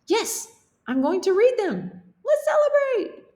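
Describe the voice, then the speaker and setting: A woman's voice: extremely high pitch, very animated, unhurried, a little mellow, reverberant, voice pushed up, heavily acted. A woman voicing an eager, chirpy character from a children's picture book. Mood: excited.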